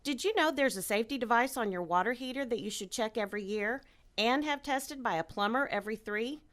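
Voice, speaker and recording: A woman performing a scripted radio ad, with an earnest sales-pitch delivery. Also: expressive, brisk, a bit bright. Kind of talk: scripted ad dialogue.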